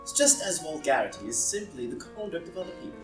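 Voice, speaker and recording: A woman's voice: deep voice, very animated, fast. A woman playing a foppish, idle, witty young lord in a comedy play reading, languid and arch. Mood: airy self-regard. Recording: roomy live reading, distant mic, music.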